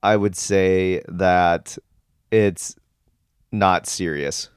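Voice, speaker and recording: A man's voice: sits low, fairly even intonation, drawn-out words, clean tone, no room sound, clean studio sound. An American man co-hosting a podcast with casual, laid-back, joking banter while a little under the weather. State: mildly sick with a cold-like illness. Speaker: podcast co-host.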